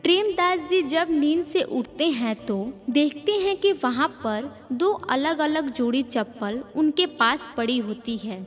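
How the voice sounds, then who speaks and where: High-pitched woman narrating a cartoon story. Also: expressive, a little slow, few pauses. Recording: long reverb, music behind.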